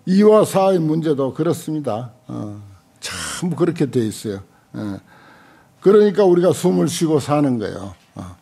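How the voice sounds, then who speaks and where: An older man, a Buddhist monk giving an informal lecture in a halting, conversational way with short interjections. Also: mid-range voice, creaky, dark tone, breathy edge. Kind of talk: informal dharma lecture with commentary on a text. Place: lecture room at a temple center, seated at a desk. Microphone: desk gooseneck microphones close in front.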